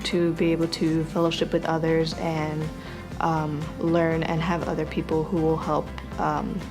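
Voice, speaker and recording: An earnest young woman of eighteen speaks in a low, flat tone, steady and sincere. Her voice stays even as she shares her testimonial. Clear background music runs beneath her words. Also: a little slow. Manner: earnest, steady, with filler ums. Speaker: church young adults group member. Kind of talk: testimonial interview. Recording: music bed underneath.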